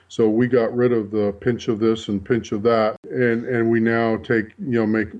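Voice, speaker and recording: A European-born man raised in Canada and the US, speaking low and measured as a cookbook author. He leans on "you know" as he explains. His voice comes across dull and a little distant. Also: warm tone. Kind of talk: interview answers.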